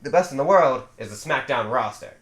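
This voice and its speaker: A man's voice: mid-range voice, expressive, brisk. A young man co-hosts a laid-back wrestling podcast, his voice rising and falling a lot as he talks. He sounds exasperated and keeps pulling the chat back on track. The room around him rings with echo. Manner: exasperated, steers back to the rundown. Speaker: podcast co-host. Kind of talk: casual wrestling review chat. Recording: echoey room.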